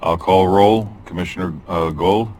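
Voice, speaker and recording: Man, board chair, extremely low voice, brisk procedural calls, table mic in a meeting room. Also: steady tone, a little mellow, slight background noise.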